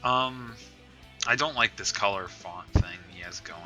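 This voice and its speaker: A man's voice: fairly low pitch, a little slow, sharp tone. A man co-hosting a podcast in casual, sweary banter, often incredulous and prone to laughing. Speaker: podcast co-host. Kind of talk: casual comic-review banter. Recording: music playing behind the voice.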